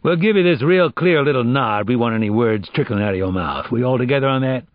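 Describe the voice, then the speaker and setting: A man's voice: medium pitch, expressive, fast, no echo, highs cut off, pitched above the performer's own voice, lightly acted. A man voicing a brash, sarcastic FBI agent who questions a suspect in flowery, taunting street-wise talk in an audiobook. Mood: menacing. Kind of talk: audiobook character dialogue.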